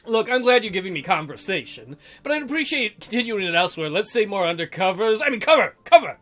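A man's voice: high-pitched, very animated, fast talker, a bit bright. A man voicing a flustered, sarcastic captive navy doctor, chattering and indignant, in a visual novel. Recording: music under the voice.

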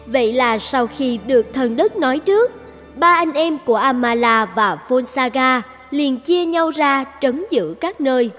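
A woman's voice: high-pitched, lively intonation, a little slow, a bit bright, in a large hall, faint music behind. A woman giving scripted fairy tale narration for a cartoon.

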